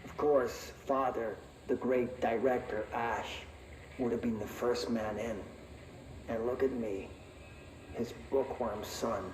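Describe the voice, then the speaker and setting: A man's voice: medium pitch, a little mellow. A man voicing an anxious, bitter, bookish researcher, brooding and self-deprecating on a taped log in a video game. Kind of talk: dramatic audio-log monologue in a video game. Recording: echoey, distant, noisy tape recording.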